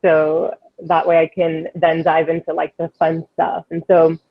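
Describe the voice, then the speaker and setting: A woman's voice: sits low, completely flat delivery, unhurried, no room sound. A woman from the Dominican Republic, a guest speaker giving an informal, chatty talk to an online service over a video call. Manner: chatty, informal, light nervous laughs. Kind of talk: casual talk to a congregation. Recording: muffled video call audio.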